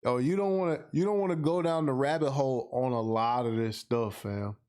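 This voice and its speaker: A man's voice: medium pitch, fairly quick, a little mellow. An American man hosting a casual livestream podcast, speaking in a slangy, emphatic way and repeating phrases for effect. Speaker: podcast host. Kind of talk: casual livestream monologue, responding to viewer comments.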